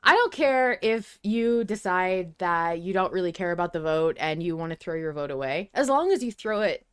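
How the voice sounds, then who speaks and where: A woman's voice: fairly low pitch, expressive, moderate pace, fairly bright tone, clear, resonant tone. A woman co-hosting a podcast, debating casually in an emphatic, sweary way with mock-stern teasing. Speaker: podcast co-host. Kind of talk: casual opinionated debate chat.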